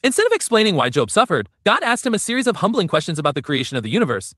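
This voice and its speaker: A man reading a scripted narration of an old story in a steady, storytelling way, with section headings. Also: high-pitched, racing, no room sound, studio quality.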